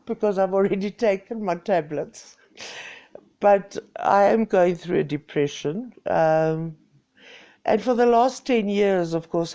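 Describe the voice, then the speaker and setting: A woman's voice: fairly low pitch, dark tone, highs cut off. A woman in her sixties with a Greek South African accent, chatting playfully and mocking herself on a home live stream. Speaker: vlogger. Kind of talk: casual live-stream chat.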